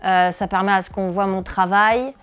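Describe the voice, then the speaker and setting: A woman's voice: mid-range voice, fairly even intonation, normal speed, clear, resonant tone, faint music behind. A woman in her thirties from northeastern France, a comedian answering interview questions, hesitant with many filler sounds. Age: 30s. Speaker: comedian and video creator.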